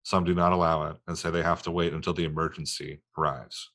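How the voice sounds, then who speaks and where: Male narrator, low register, reading stage directions for a film script table read. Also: little pitch movement, fast, fairly bright tone.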